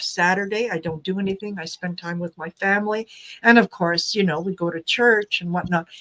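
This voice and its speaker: A woman's voice: lowish voice, a bit bright. A woman co-hosting a casual talk show, recounting at length with fillers. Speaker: co-host. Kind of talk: casual chat.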